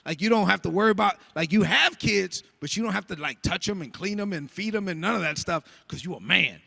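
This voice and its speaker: High, gravelly man telling stories. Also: a little fast. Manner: animated, filler-heavy storytelling with lots of "like". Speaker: relationship panel guest. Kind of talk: casual storytelling.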